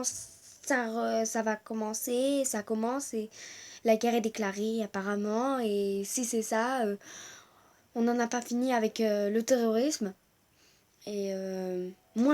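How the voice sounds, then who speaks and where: A teenage girl from France speaks straight to her viewers in a home video. Her voice sits a little high and she talks earnestly, stumbling through fillers and restarts as she searches for words. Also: darkish tone. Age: teens. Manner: hesitant, many fillers and restarts; earnest, growing indignant. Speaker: vlogger. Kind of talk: personal video message.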